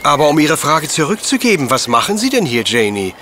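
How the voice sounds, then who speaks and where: An actor playing an unflappable, pedantic professor detective in a German audio drama, speaking precisely and condescendingly. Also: male voice, a little high, big pitch swings, brisk, pushed and bright, a little hiss or hum. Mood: politely probing.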